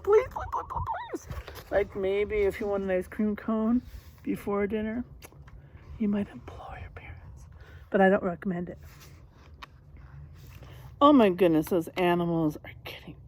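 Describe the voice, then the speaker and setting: Slow, animated woman reading to children. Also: fairly high pitch, vocal fry, low-quality recording. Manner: addressing young listeners directly, encouraging. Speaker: read-aloud teacher. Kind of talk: children's read-aloud with rhyme and vocabulary talk.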